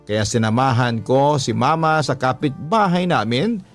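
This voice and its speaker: Filipino guy reading a heartfelt letter story for radio, soft music behind, man voicing a daughter's account. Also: medium pitch, a little slow, close to an everyday voice. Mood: earnest, reflective. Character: earnest young daughter telling her life story. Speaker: story narrator. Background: background music.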